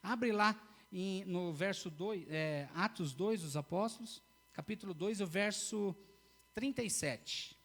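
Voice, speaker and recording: A man with a high voice preaches in a church. He speaks earnestly and repeats his key phrases for emphasis, often breaking off to restart a sentence. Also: slow pace, a bit bright. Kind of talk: sermon.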